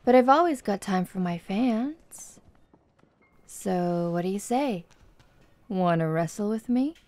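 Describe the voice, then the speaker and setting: A woman's voice: medium pitch, expressive, slow, darkish tone, dry recording. A woman playing a flirty, confident tomboy MMA fighter in an ASMR roleplay, with a playful, teasing, coaxing manner. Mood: playful, inviting.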